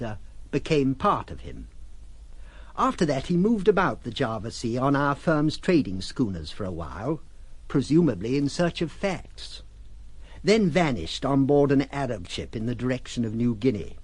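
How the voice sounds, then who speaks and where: An actor playing a puzzled, civil trading merchant, recounting events in a hesitant, conversational way in an audio drama. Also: male voice, mid-range voice, husky, no echo. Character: puzzled, civil male trading merchant Mister Tesman, chuckling raconteur. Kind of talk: audio drama narration in character.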